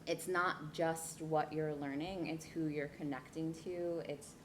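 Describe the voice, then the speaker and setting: A woman in her thirties from New Jersey, telling her life story to a leadership workshop in an earnest way, with pauses and fillers. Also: low-pitched, fairly even intonation, slight background noise. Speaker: guest speaker. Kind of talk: personal storytelling talk. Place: event room.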